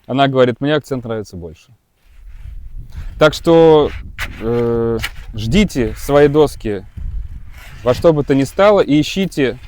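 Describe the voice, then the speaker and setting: A man's voice: mid-range voice, animated, a little slow, pauses often, a little mellow, a little hiss or hum. Rambling Russian-speaking kite instructor man. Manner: rambling, with many hesitations and restarts. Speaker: kite school instructor and gear reviewer. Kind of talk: casual gear review.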